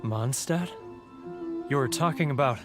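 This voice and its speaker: An actor playing a dramatic, guilt-ridden and homesick swindler in a video game, with a plaintive, self-pitying delivery. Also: male voice, mid-range voice, unhurried, lightly acted. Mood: suspicious. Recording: music playing clearly behind.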